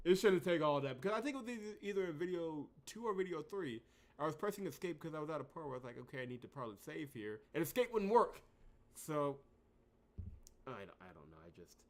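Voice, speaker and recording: A man's voice: fairly high pitch, a bit bright. Exasperated man doing game commentary. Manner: exasperated, emphatic, swings from outbursts to muttering. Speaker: gaming channel host. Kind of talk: unscripted let's play commentary.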